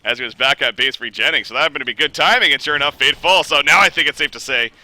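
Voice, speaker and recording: A man casting an online game match, calling plays with excitement and joking with his co-caster. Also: quick pace, low-quality recording. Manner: excitable, joking, rising pitch on big plays. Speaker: esports caster. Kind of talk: play-by-play game commentary.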